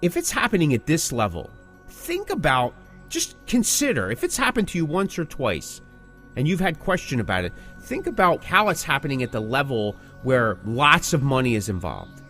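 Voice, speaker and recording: A male podcast host with a mid-pitched voice and very lively intonation. He talks conversationally but with emphasis, building his point in short phrases and rhetorical questions, with music faintly present. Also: normal speed.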